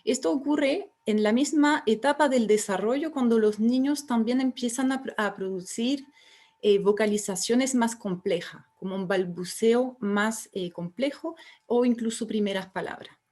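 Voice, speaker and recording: A woman, a researcher giving a symposium lecture, explaining step by step and pausing on fillers and restarts. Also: on the high side, a little mellow. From France. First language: French. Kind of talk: academic lecture.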